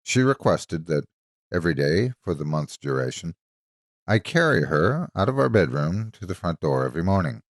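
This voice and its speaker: Male story narrator, low register, highly varied intonation, measured dramatic delivery. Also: big pitch swings, no echo, clean studio sound.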